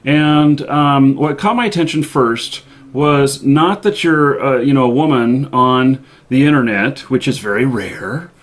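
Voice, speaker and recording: A man hosting a live online show, interviewing a guest with many halting fillers. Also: medium pitch. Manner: hesitant, many fillers, laughs along. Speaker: show host.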